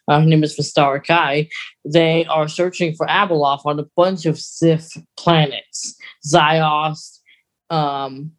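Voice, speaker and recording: A podcast co-host chats casually and explains as they go. The voice sits at a middle pitch. The delivery is very slow and unhurried.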